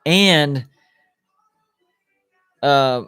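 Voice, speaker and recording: A man's voice: on the high side, drawn-out words, fairly bright tone, clean tone, no room sound, clean studio sound, faint music behind. Rambling thirty-something man on a live stream. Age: 30s. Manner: rambling, restarts, lots of "like" and "you know". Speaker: sports YouTuber hosting a live watch party. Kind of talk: casual live-stream chat, reading viewer comments.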